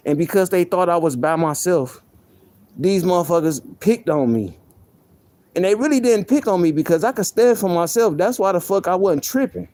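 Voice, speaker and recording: A man with a soft voice, venting on a live stream in a heated, profane way, often repeating himself. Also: sits high. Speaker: livestreamer.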